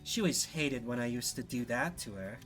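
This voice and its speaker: A man's voice: medium pitch, expressive, fairly quick, fairly bright tone, soft background music, natural voice. A man voicing a sly, flirtatious winged harpy woman from a game, with a teasing manner. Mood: confident. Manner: teasing, saying darling.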